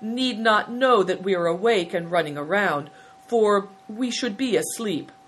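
A woman's voice: medium pitch, a little slow, a bit bright, slight background noise, close to an everyday voice. A woman playing a polite, earnest boy in a story, pleading and explaining himself respectfully.

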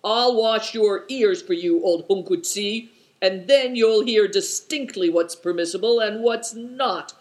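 A single reader voicing a hot-tempered young male guardian who shouts insults. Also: mid-range voice, measured pace, voice pushed up, close to an everyday voice. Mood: indignant. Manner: indignant, shouting.

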